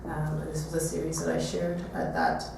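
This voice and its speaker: Echoey, distant low-voiced woman presenting. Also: sing-song, a little fast, vocal fry. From Trinidad. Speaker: visual artist giving a presentation. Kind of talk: artist talk to an audience. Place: echoey room, talk to an audience. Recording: echoey room, distant mic, faint noise.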